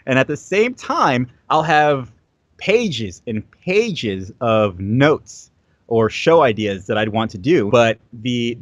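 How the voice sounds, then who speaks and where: A man's voice: mid-range voice, no echo, dull audio. A man talking as a guest in a casual interview, rambling and repeating his words with many fillers. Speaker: guest content creator.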